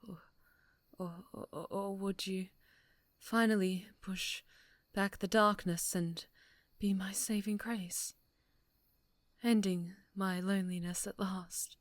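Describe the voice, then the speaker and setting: A woman playing an obsessive, wounded superhero in a dramatic ASMR roleplay, halting and sweetly menacing, slipping into whispers. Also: mid-range voice, fairly even intonation, no echo, lightly acted. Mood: wounded, brooding.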